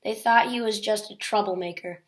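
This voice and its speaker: A woman's voice: mid-range voice, fairly even intonation, moderate pace, low-quality recording. A woman reading a children's picture book aloud as a storyteller.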